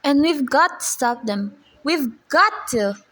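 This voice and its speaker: A woman's voice: sits high, lively intonation, slow pace, a bit bright, heavily acted. A woman playing an anxious, demanding invalid wife in a radio play, fretful and insistent. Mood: agitated, urgent.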